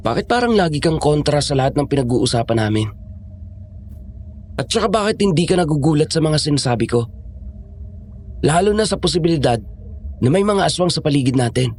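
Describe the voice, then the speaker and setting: A man's voice: a little high, animated, brisk, pauses often, a little mellow, slight background noise. A man with a Filipino accent playing a serious, suspicious monster hunter in an audio drama. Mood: wary, serious. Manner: serious, insistent. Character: wary, suspicious male aswang hunter posing as cook. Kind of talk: Tagalog audio drama acting.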